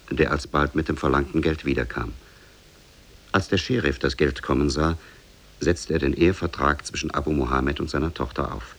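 Male narrator, bass with heavy creak, measured storybook delivery, vintage radio. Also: fairly bright tone. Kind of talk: scripted fairy-tale narration, 1950s radio.

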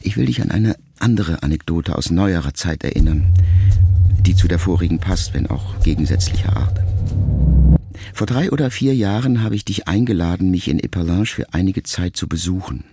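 A man acting in a radio play as a grown son addressing his mother in a sombre, tender monologue, measured and deliberate. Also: sits low, expressive, dark tone, breathy edge, no echo, exaggerated voice. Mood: reflective, pained.

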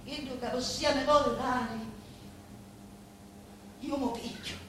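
Echoey, high-voiced grieving Sicilian daughter. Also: female voice, fairly even intonation, fairly bright tone. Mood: bitter, brooding. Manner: incantatory, halting, repeating words with heavy pauses. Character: grieving, vengeful daughter of murdered parents, confiding in a boss. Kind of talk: theatrical dramatic monologue in dialect-laced Italian. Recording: echoey, distant, faintly noisy stage recording.

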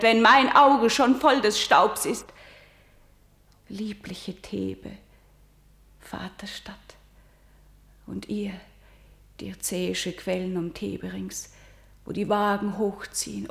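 A woman playing a condemned, defiant young woman lamenting in solemn, measured tragic verse for a German radio play. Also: medium pitch, gravelly. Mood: mournful farewell. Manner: solemn, measured, dropping from ringing lament to whisper. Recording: echoey, roomy studio sound.

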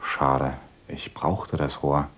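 A naive, husky young teacher. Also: male voice, a bass voice, flat delivery, slow, fairly bright tone, heavily acted. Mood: earnest. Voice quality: hesitant, mild. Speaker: new village teacher in a radio play. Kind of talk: radio drama acting.

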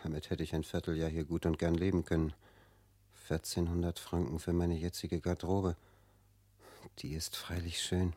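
An actor in a German radio drama playing an earnest, naive, insecure young provincial gentleman, hesitant and polite. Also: deep voice, little pitch movement, moderate pace, no room sound. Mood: dismayed, muttering.

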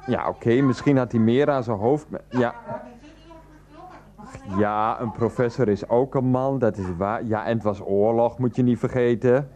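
A man's voice: mid-range voice, normal speed, warm tone, muffled recording, lightly acted. An actor playing an earnest, flustered man in a radio play, pleading and placating in hesitant, repetitive phrases. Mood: earnest, coaxing. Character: earnest, flustered adult son and partner, placatingly arguing. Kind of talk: 1990s radio play acting.

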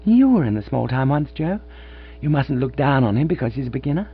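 A man's voice: mid-range voice, sing-song, fairly quick, husky, pitched above the performer's own voice. A man voicing a middle-aged woman, a former actress, pleading and tremulous, in a storybook reading. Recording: dull lo-fi with mains hum.

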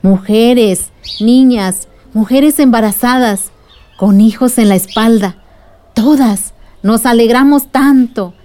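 Mellow reflective storyteller. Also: female voice, fairly high pitch, slow. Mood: reflective, glad. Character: reflective older woman recounting village memories. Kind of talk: radio drama storytelling.

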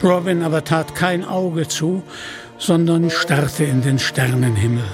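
A man's voice: a little high, fairly even intonation, unhurried, music in the background. A man narrating a scripted storybook tale as an audiobook reader.

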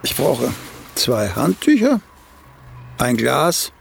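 A man's voice: medium pitch, huge pitch swings, takes it slowly, a little hiss or hum, exaggerated voice. An actor playing a vain, grumpy, demanding old male playwright in a radio drama, fussy and lecturing. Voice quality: gravelly, creaky voice. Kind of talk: German radio drama acting.

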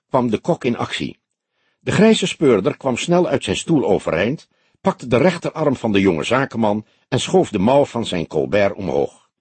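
A man narrates a crime audiobook with expressive, lively intonation. His voice is slightly husky, and the recording is dry and close, with no room sound. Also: lowish voice, no room sound. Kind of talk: scripted crime-novel narration.

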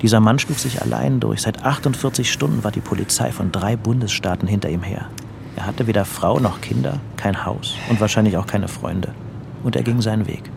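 A man narrating a crime story for a radio drama in a grave, detached, measured way. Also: fairly low pitch, gravelly, noisy background.

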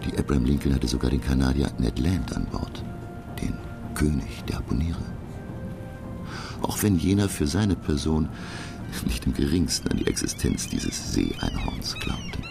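Learned professor telling his sea adventure, super deep n gravelly, swings a lot, music behind, radio drama. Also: wildly swooping pitch, moderate pace, heavily acted. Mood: narrating, intent. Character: learned, self-assured French professor recounting his expedition. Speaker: narrator and lead character. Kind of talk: dramatic scripted narration.

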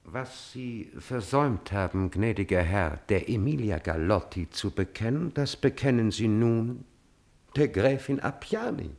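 An actor playing an obsequious, coolly calculating courtier in a classical German radio drama, speaking in a measured, insinuating manner. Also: male voice, on the low side, animated, a little mellow. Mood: cynical, insinuating.

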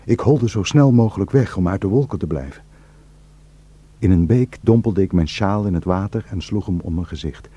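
An actor playing a somber Kurdish shepherd, narrating in a measured, quiet, husky voice for a radio drama. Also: male voice, sits low, lo-fi sound. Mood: hushed dread.

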